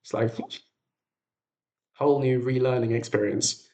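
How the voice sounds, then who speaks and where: A man's voice: mid-range voice, fairly even intonation, unhurried, dark tone. A man chatting casually on a livestream as its host, rambling and self-deprecating, in an echoey untreated room. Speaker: livestream host and audio drama creator. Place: echoey room at home, makeshift streaming setup.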